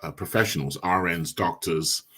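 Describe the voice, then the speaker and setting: A middle-aged immigrant man hosting a podcast, speaking in a measured, deliberate way. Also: low voice, sharp tone, breathy edge. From immigrant, came as a child. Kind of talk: casual discussion.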